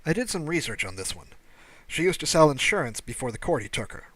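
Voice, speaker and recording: A man's voice: a little high, big pitch swings, quick pace, a bit bright, slight background noise. Man playing a wry, breezy alien rescuer. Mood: wry, amused. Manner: breezy, dryly teasing, persuasive. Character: wry, kindly male alien rescuer with secret amusement. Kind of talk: audiobook character dialogue.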